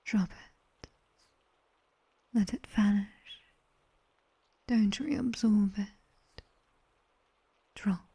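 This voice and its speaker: A British woman playing a sharp-tongued nightmare demoness tutor in an ASMR roleplay, whispering breathily and close to a binaural mic with wry, commanding instruction. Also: mid-range voice, drawn-out words, gravelly, long pauses, slightly rough, heavily acted. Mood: soft, instructing. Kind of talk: ASMR roleplay, guided sleep meditation. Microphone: close binaural microphone.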